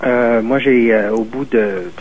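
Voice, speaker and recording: A man's voice: mid-range voice, slow. Hesitant man on a tinny phone line. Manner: hesitant, many fillers and restarts. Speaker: caller. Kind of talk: call-in radio talk.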